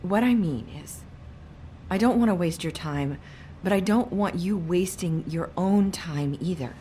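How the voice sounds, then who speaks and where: A woman's voice: on the low side, a little slow, mellow, a little hiss or hum, lightly acted. An actress playing a guarded, sorrowful young woman in a video game, speaking hesitantly and evasively. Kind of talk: video game dialogue acting.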